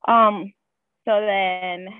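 A woman's voice: mid-range voice, steady tone, extremely slow, no room sound. A woman whose first language is Spanish, a guest nurse chatting casually with lots of fillers. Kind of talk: casual conversation. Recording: tinny phone-like call audio, dry.

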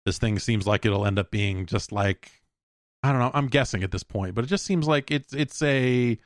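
A middle-aged man hosting a podcast, giving casual solo commentary on news in a rambling, halting way with repeated words. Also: medium pitch, no echo, clean studio sound. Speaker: podcast host.

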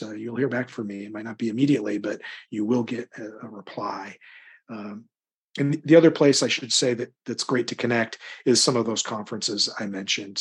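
Low, husky-voiced middle-aged man. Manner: rambling, often repeats words mid-sentence. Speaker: crime novelist being interviewed. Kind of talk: conversational interview answers with anecdotes.

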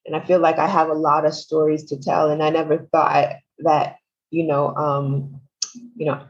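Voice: mid-range voice, flat delivery, normal speed. A guest with a Nigerian accent, a model turned actor, talking enthusiastically with many restarts and fillers. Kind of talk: casual interview chat. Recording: dull lo-fi sound.

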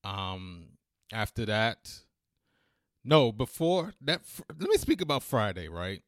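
A man's voice: on the low side, huge pitch swings, slow, no echo, clean studio sound. A man co-hosting a casual talk show, who is losing his voice and talks loosely with fillers and slang. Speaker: podcast co-host. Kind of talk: casual chat.

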